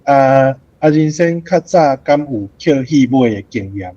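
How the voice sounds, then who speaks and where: A man with a Taiwanese accent and a husky voice of medium pitch asks questions casually and politely, a little hesitantly. The sound is dry and slightly dull. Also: lively intonation, a little slow, dark tone, no echo. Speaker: questioner in a book discussion. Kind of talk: casual question to a guest author.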